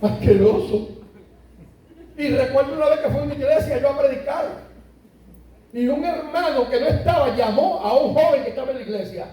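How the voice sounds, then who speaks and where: A man preaching a sermon to a congregation, stressing key words by slowing down and rising in emphatic bursts. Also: extremely high pitch, dark tone. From Puerto Rico. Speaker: pastor. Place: church sanctuary. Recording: echoey church heard from a distance.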